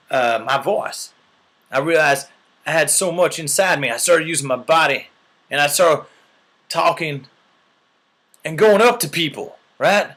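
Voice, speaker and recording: A man's voice: on the high side, fairly bright tone. A man telling a long mock-serious story in an earnest, rambling way, often checking in with "right?".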